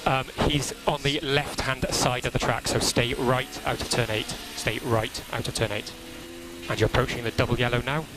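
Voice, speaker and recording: A man working as a race engineer, giving calm, procedural briefings over team radio and repeating key instructions in a measured way. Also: mid-range voice. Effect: team radio transmission. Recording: crackly noisy motor racing team radio.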